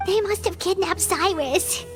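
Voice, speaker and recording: A woman voicing a tiny, excitable childlike companion sprite in a video game, squeaky and exclamatory. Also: measured pace. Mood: anxious. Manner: refers to self by name, exclamatory. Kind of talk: video game character dialogue. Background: background music.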